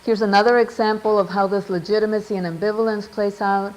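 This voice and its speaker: A middle-aged woman giving an academic seminar talk, measured and thoughtful, often pausing with "um" and checking in with "right?". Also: medium pitch, fairly even intonation, a little mellow, poor audio. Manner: measured, reads out quotes, frequent ums and "right?". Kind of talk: academic lecture.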